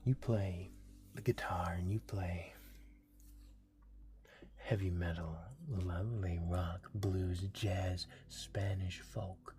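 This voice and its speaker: A man with a deep, mellow voice whispers softly through a livestream. His tone is dark and relaxed, and he speaks in a gentle, comforting ASMR way. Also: slow. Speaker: livestream host.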